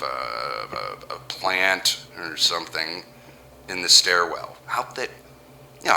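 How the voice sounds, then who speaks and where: A man's voice: low voice, sharp tone, slight background noise, exaggerated voice. An actor playing a powerful, nervous businessman who talks to himself in a rambling, sardonic way, narrating an audio horror drama. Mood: panicked, stammering. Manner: rambling self-talk, sardonic, jittery. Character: powerful businessman, alone, nervous, self-mocking, slowly unravelling. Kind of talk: first-person audio horror monologue.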